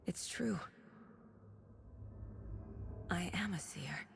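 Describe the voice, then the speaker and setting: An actress playing a determined woman investigator with a seer's intuition in a video game, speaking in a measured, intent way that is at times hushed. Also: fairly low pitch, gravelly, slight background noise. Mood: hushed, reflective. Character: determined woman seer investigator, probing and reflective. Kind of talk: video game acting, introspective monologue.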